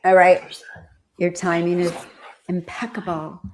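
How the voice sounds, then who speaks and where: A woman from the United States with a General American accent, hosting a livestream and chatting in a rambling, animated way. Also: on the low side, a little slow, slight background noise. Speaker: livestream host. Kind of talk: casual livestream chat.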